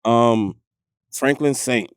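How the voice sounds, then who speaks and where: A man's voice: medium pitch, slow, clear, resonant tone, no room sound, studio quality. Laid-back man musing on a podcast. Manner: laid-back, thinks aloud, swears casually. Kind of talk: casual chat.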